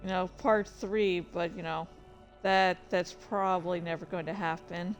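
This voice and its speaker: A woman streamer chatting casually about her own writing in a halting, rambling, self-deprecating way. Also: on the low side, fairly even intonation, soft background music. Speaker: streamer and fan fiction author. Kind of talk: casual livestream chat.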